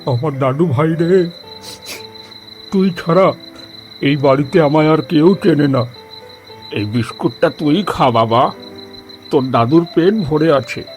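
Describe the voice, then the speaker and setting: A man playing a gentle, heartbroken old grandfather in an audio drama, speaking tenderly and plaintively. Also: fairly high pitch, slow, long pauses, faint music behind. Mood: tender, sad. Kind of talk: Bengali audio drama acting.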